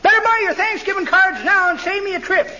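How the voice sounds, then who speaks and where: A man's voice: extremely high pitch, fairly quick, sharp tone, full character voice. An actor playing a cheerful, pushy old card salesman in a radio comedy, with a cackling old-man voice and a folksy way of reciting. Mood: eager, folksy. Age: older. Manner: folksy, reciting corny rhymes. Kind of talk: 1940s radio comedy acting. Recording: noisy, muffled old radio broadcast.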